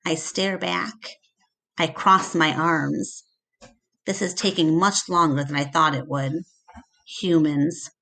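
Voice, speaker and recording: A woman reading a storybook aloud to a class, with expressive, dramatic and deliberate phrasing. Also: deep voice.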